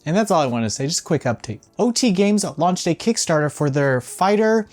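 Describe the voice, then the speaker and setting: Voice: mid-range voice, expressive, brisk, a little mellow, faint music behind. A video game news host giving casual, opinionated commentary in an informal, blunt way, with some swearing.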